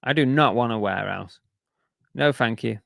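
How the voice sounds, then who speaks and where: A man's voice: medium pitch, moderate pace, gravelly, fairly bright tone, no room sound, clean studio sound. A man chatting casually on a live stream, reading out viewer comments and grumbling about sales while losing his voice. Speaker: reseller streamer reading chat.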